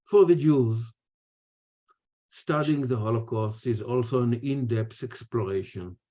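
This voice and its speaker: An older man with an Israeli accent delivers a prepared lecture over a video call. His voice is mellow and relaxed, and he moves in deliberate, measured phrases. The audio is dull and lo-fi. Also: mid-range voice. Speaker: speaker, retired history professor. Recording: muffled, lo-fi webinar audio.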